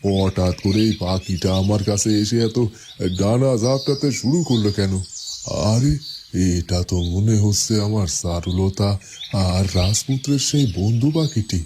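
A man's voice: on the low side, measured pace, background noise, natural voice. A man voicing an earnest, middle-aged village headman and father in a cartoon fairy tale, musing and hesitant. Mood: puzzled. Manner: musing, hesitant, talks to himself. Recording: loud music behind.

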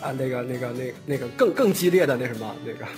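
Hesitant man chatting over soft guitar. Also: mid-range voice, animated, fast talker. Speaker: voice actor at a cast chat, later reading questions. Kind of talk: casual online cast chat. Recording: music behind the voice.

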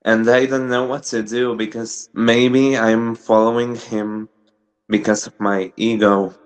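Young Argentine man on a webcam call, slow and halting, Spanish-accented English. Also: medium pitch, little pitch movement. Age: young adult. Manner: hesitant, restarts, gestures while talking. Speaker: caller asking a question. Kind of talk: hesitant personal question. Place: small room with brick wall, indoors. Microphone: video call webcam.

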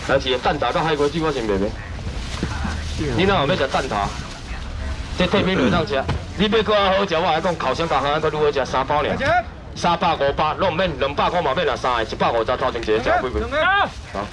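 A man running a live seafood auction at an outdoor night market stall, loudly shouting prices and sales patter. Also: lively intonation. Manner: loud rapid sales pitch, shouting prices. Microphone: wide camera in front of stall. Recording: noisy outdoor market, traffic behind.

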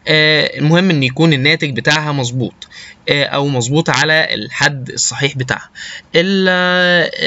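Egyptian guy teaching over slides, kinda high sharp voice, keeps repeating words, english tech terms mixed in. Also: no echo. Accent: Egyptian Arabic. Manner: halting, often repeating words while searching for terms. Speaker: lecturer. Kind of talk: informal slide lecture mixing Arabic with English technical terms. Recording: dull, lo-fi voice recording.

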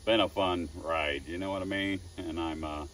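Older man, sixties, low-voiced wood carver chatting outdoors, slightly distant from the phone mic, faint outdoor hum. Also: fairly even intonation, normal speed, a bit bright. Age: 60s. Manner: folksy, chuckling, self-deprecating storyteller. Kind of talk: casual talk to camera. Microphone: phone camera at a distance.

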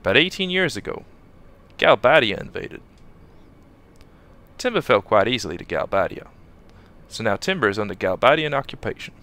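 A man voicing a brash, excitable young male fighter in a game fan dub, bursting with enthusiasm. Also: mid-range voice, moderate pace, pauses often, pitched below the performer's own voice. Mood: excited. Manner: enthusiastic, boastful.

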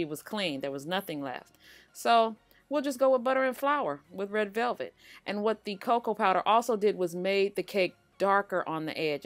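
Low-voiced woman narrating a baking tutorial. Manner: chatty, reassuring, speaks to viewers as "you guys". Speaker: cooking channel host. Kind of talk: relaxed voice-over baking tutorial.

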